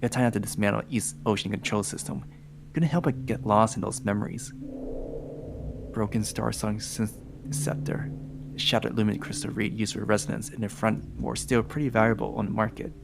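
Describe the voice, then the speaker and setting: Low, creaky-voiced man reading game narration. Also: fairly even intonation, a little fast, background noise. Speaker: host reading a game aloud with a group.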